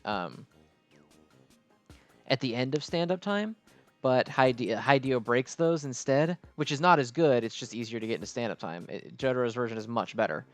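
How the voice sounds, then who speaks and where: A man's voice: mid-range voice, expressive, normal speed, no echo, soft background music. A man hosting a gaming video with casual, unscripted commentary, rambling and interrupting himself as he explains.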